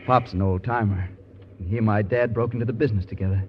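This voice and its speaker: A man's voice: fairly low pitch, fairly even intonation, quick pace, a crowd in the background, natural voice. An actor playing a weary, sincere male contractor boss, in 1940s radio style. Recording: dull old radio recording.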